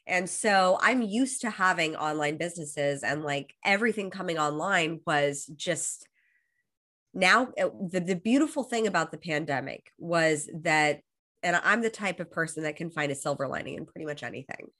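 American woman in her 30s, slightly low voice, chatty interview guest getting over a cold, restarts her phrases a lot. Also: animated, normal speed, fairly bright tone. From Los Angeles, USA. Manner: fluent and upbeat, restarts phrases often. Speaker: guest, high performance coach. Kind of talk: casual interview talk.

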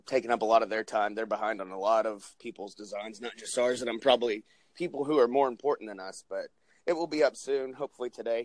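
Hoarse young male co-host, loose and profane, dry close sound. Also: on the low side, no room sound. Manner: joking, profane, rambling plugs. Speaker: podcast co-host. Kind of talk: casual chat.

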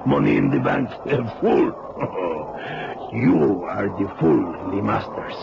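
An actor playing a sadistic, smug criminal in a radio drama, with a deliberate, mocking delivery. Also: male voice, fairly low pitch, big pitch swings, creaky, lo-fi sound, exaggerated voice. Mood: smug menace. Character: menacing, sadistic Mexican male criminal, smugly cruel. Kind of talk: 1970s radio drama acting. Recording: noisy, band-limited old radio recording.

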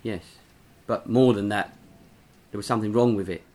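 A man's voice: lowish voice, steady tone, a little mellow, lightly acted. An actor playing a nervous drifter who stammers and halts as he recounts something horrifying. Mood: nervous, shaken. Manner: halting, stammering, frightened.

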